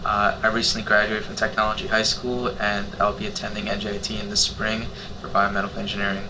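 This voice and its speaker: Casting: teenage male, low flat voice, rambling casual panelist, noisy room recording. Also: quick pace. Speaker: youth volunteer organizer.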